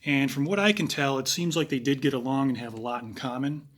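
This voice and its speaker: A man with a raspy voice giving analytical true crime commentary, talking casually with pauses and fillers. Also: mid-range voice, a bit bright.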